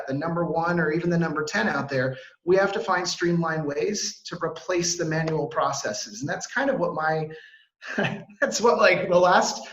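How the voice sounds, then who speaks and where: An upbeat American man in his thirties who talks fast and eagerly, stumbling over fillers and restarting his sentences. His voice sits fairly high for a man, and it rings in an echoey room over a video call. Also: a bit bright, lo-fi sound. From Washington, D.C. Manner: upbeat, fast, filler-heavy, self-interrupting. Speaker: webinar presenter. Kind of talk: energetic webinar presentation. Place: home or office on a video call. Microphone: video call mic.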